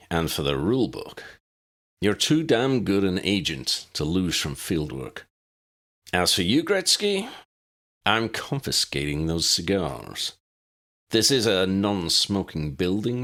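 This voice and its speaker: A man's voice: low-pitched, fairly bright tone. A man voicing a stern, weary warlock director in an audiobook, formal and dry with wry sarcasm. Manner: formal, dry, measured, sardonic.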